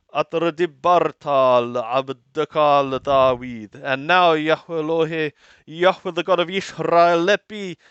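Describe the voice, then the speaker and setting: A man reading scripture aloud and translating it phrase by phrase in a deliberate, halting manner, often repeating and rephrasing. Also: a little high, fairly bright tone, smooth, no room sound, dull audio.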